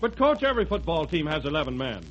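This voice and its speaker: A man's voice: on the high side, sing-song, fast. A man playing a complaining college football player in a 1930s live radio comedy. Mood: protesting. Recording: dull, faintly noisy 1930s radio recording.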